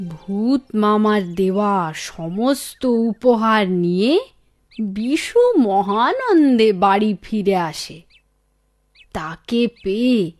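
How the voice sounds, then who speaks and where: A woman narrator reading a scripted children's storybook tale. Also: mid-range voice.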